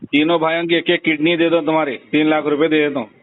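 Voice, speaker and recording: A man's voice: a little high, flat delivery, quick pace. A man with a Hyderabadi accent talking bluntly and insistently in a casual conversation over the telephone. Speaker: phone call recipient. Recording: tinny narrowband phone call recording.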